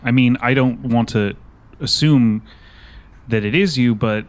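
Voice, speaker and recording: A man's voice: mid-range voice, unhurried, close to an everyday voice. A man roleplaying a caring investigator who speaks hesitantly and carefully. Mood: hesitant, concerned.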